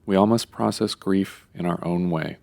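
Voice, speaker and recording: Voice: deep voice, moderate pace, gravelly, darkish tone. An actor playing a solemn, unctuous funeral parlor attendant in a comedy audio drama, formal, lugubrious and hesitant.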